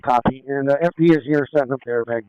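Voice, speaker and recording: A man's voice: mid-range voice, fast, dark tone, clear, resonant tone, dry recording. A man who is a police officer giving matter-of-fact procedural updates over lo-fi scanner radio.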